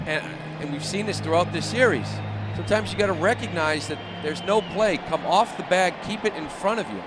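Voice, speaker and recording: Very fast-talking male baseball analyst. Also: sits high. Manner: colloquial, explanatory, emphatic advice. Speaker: radio baseball color analyst. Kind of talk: conversational sports analysis. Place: ballpark broadcast position. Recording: noisy outdoor stadium ambience. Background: steady outdoor stadium noise.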